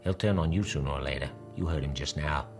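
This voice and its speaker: An actor playing a brash, sarcastic 1930s Hollywood studio boss in a period drama, with an American accent and slangy, bullying talk. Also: male voice, extremely low pitch, fast, music in the background. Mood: confiding, sly. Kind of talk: radio-play style period drama acting.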